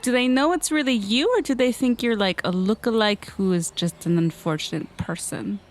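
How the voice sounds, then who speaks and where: A woman's voice: fairly high pitch, normal speed. A woman playing a tabletop game, casually improvising her character's lines and actions. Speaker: player in a tabletop role-playing podcast. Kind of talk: casual improvised role-play.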